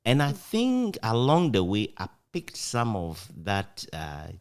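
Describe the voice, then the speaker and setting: A man with a Ghanaian accent, reminiscing casually as an interview guest in an animated, anecdotal way. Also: mid-range voice, takes it slowly, clear, resonant tone. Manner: animated, chuckles often while recounting anecdotes. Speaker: interview guest, former radio broadcaster.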